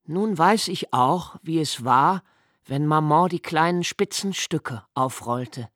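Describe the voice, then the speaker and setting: An actor playing a reflective, melancholy diarist in a literary radio play, speaking intimately and pensively with halting phrasing. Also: male voice, fairly high pitch, fairly bright tone, dry recording, studio quality. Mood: reflective, wry. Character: reflective, melancholy male diarist recalling love and childhood.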